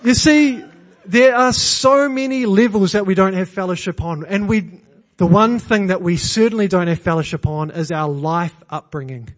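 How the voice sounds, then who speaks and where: High-voiced New Zealand man preaching. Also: moderate pace. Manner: earnest, self-deprecating humor, emphatic repetition. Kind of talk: sermon. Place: church.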